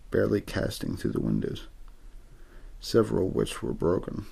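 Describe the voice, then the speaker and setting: A man reading a story in ASMR style, untheatrical and meant to lull listeners to sleep, with a low, gravelly, husky voice. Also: big pitch swings, normal speed, warm tone. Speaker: amateur story reader.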